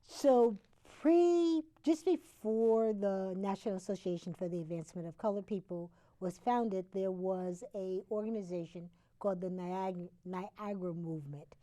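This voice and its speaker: A woman from Connecticut, a talk show guest, explaining history in a halting way with many fillers and self-corrections. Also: mid-range voice, dark tone.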